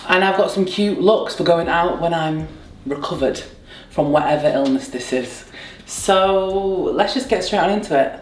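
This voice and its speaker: A young woman with a hoarse, croaky voice from a sore throat, chatting playfully through a clothing try-on haul. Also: fairly low pitch, moderate pace, slight background noise. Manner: chatty, bubbly, playful asides. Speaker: fashion vlogger. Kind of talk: casual try-on haul chat. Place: bright indoor room at home. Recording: echoey room.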